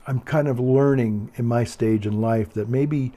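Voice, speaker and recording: An older man with a calm, quiet voice, chatting casually in a meandering, hesitant way and often repeating himself. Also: mid-range voice, a little slow. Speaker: video blogger. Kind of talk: casual rambling monologue.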